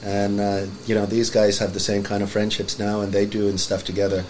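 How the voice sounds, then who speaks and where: Low-voiced Finnish-born man, relaxed chat. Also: fairly quick, slight background noise. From Finland. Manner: relaxed, rambling with many "you know"s. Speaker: podcast guest. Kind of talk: casual chat.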